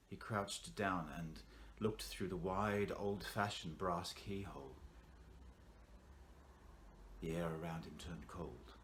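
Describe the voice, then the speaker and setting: A man with a low voice narrates a horror tale in a dramatic, suspenseful style. He places theatrical pauses between phrases. Some ambient noise sits behind the recording. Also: a bit bright. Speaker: actor reading a short story. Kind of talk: dramatic scripted horror storytelling.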